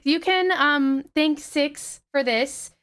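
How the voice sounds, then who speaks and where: A young woman streaming and chatting casually with viewers, her voice slightly raspy after the flu, swearing freely and greeting people brightly. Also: high voice, takes it slowly. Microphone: headset.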